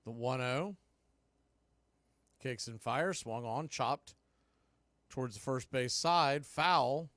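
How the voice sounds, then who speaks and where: Bright dry-voiced baseball play-by-play man. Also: mid-range voice, takes it slowly, long pauses, dry recording. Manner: bursts into excited calls on big plays. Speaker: play-by-play announcer.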